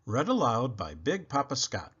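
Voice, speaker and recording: A man reading a children's picture book aloud in an expressive, animated and deliberate storytelling manner. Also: medium pitch, slightly rough, dull audio.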